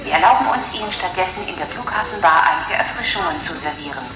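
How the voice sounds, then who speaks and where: An actress as a courteous airport announcer in a radio satire, formal and measured. Her lowish voice echoes like a public-address system, with music behind. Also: expressive, natural voice. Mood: formally courteous.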